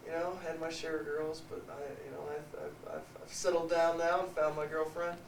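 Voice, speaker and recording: Young man, higher voice, earnest, hesitant, far from the mic. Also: level tone, moderate pace, vocal fry, a little hiss or hum. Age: young adult. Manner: sincere, hesitant, repeats words. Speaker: college football player. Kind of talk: reflective interview answers. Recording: echoey room, off-mic.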